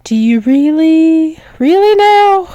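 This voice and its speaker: A woman's voice: fairly high pitch, expressive, mellow, no echo, highs cut off. A woman playing a dominant, doting female werewolf demon lover in an intimate ASMR roleplay, teasing and coaxing. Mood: doting, possessive. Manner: teasing, coaxing, slow repeated praise, often dropping to whispers.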